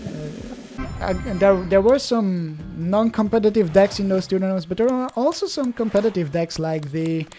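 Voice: medium pitch, expressive, normal speed, mellow, soft background music. A young adult from Belgium, a native Dutch speaker with a Belgian Dutch accent, chatting casually in hesitant, restart-filled commentary while opening card packs.

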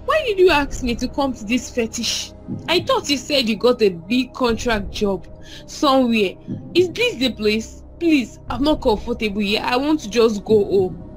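A woman acting a young, anxious, affectionate wife speaks high and with lively swings of pitch. Her accent is Nigerian and her delivery is expressive film melodrama, with music behind. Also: moderate pace, poor audio. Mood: scared, uneasy. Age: young adult. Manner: expressive, pleading, uses pet names.

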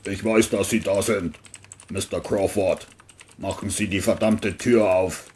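A stern male sheriff from a crime audio play, acted by a man, low in pitch. He is formal and insistent, giving commands over faint noise and clicks. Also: steady tone, a little slow, darkish tone. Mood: impatient.

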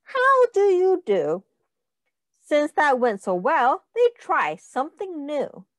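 A woman's voice: high-pitched, huge pitch swings, slow, no room sound. A woman reading a children's picture book aloud like a teacher, sing-song and encouraging, with prompts to count along.

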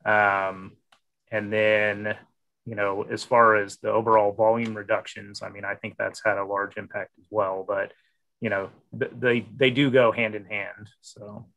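Thirties male panelist, flat delivery, bright edge, webcam call. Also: lowish voice, unhurried, vocal fry, highs cut off. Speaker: regulatory manager panelist. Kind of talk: panel discussion.

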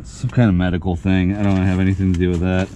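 A man's voice: low voice, flat delivery, quick pace. A man giving casual vlog commentary outdoors, rambling and thinking aloud as he goes. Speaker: vlogger. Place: outdoors in an industrial park lot. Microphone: body-worn action camera. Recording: muffled action-camera audio with clear outdoor noise.

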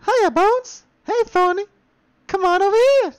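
A man voicing a tiny, eager cartoon bug with a squeaky voice and a gleeful, folksy manner. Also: a little slow. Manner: folksy, gleeful, simple grammar.

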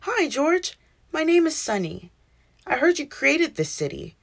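High, friendly storybook sun, voiced by a woman. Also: sing-song, a little slow, vocal fry, exaggerated voice. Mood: welcoming. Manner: friendly, welcoming. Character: warm, friendly, sleepy cartoon sun.

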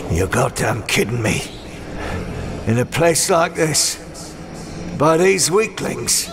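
A man's voice: fairly high pitch, big pitch swings, slow, full character voice. A man playing a brooding, battle-worn swordsman, terse and world-weary, in a dramatic comic dub. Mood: bitter, grim.

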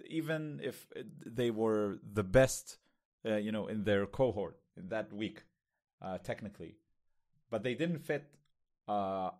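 A man with an Israeli accent, a Hebrew native speaker, talking candidly on a podcast, thinking aloud with many pauses and fillers. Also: fairly low pitch, darkish tone. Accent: Israeli, lighter than most. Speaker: podcast co-host. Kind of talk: casual conversation.

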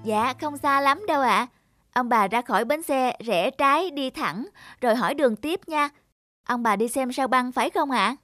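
A woman's voice: high-pitched, expressive, normal speed, pushed and bright, no room sound, studio quality. An actress playing a kind, caring young girl in a cartoon, speaking politely and earnestly. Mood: cheerful, polite. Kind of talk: animated moral tale voice acting.

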